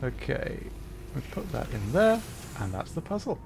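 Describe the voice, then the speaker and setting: A man's voice: on the high side, big pitch swings, moderate pace, creaky, background noise. A young adult man chatting casually while streaming a game, rambling and correcting himself, with frequent laughs.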